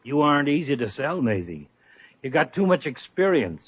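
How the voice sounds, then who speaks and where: An actor playing a shameless, brusque small-time talent agent in a 1950s radio comedy, slangy and rough-edged. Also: male voice, a little high, very animated. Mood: huffy, dismissive. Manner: slangy, brusque, fast-talking brush-offs. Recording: dull old radio recording.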